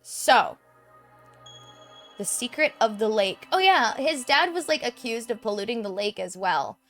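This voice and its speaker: A woman talks through her game session from home into a desk mic, leaning heavily on "um" and "like" as she thinks aloud. Her voice sits a little high for a woman. Quiet game music plays faintly in the background. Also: animated, measured pace. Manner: chatty, full of "um" and "like". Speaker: let's play gamer. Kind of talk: casual gameplay commentary. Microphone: desk microphone.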